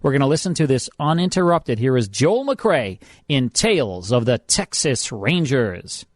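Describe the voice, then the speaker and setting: A man's voice: medium pitch, sing-song, measured pace, no room sound, studio quality. A man with an American accent hosting a radio show in a conversational, explanatory way. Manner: explanatory, trivia-sharing. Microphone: close studio microphone.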